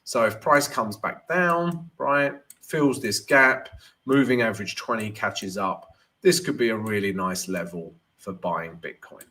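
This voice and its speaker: A man's voice: lowish voice, unhurried, sharp tone. A man hosting a live trading stream, congested from a cold and chatting to viewers while going over charts. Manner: chatty, addresses viewers as guys, frequent "right?". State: congested, stuffy nose. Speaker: trading livestream host.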